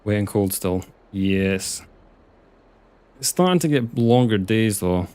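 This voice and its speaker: A man's voice: fairly low pitch, lively intonation, slow, a little mellow. A young Scottish man from Scotland streaming a farming game, chatting casually with viewers and muttering at the game. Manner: chatty, reads chat aloud and mutters at the game. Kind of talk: casual live gameplay chat.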